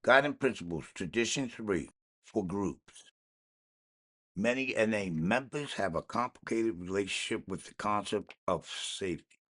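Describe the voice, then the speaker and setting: A man reading a daily recovery meditation aloud in a measured, slightly halting way. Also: lowish voice, expressive, fairly bright tone. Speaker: podcast reader.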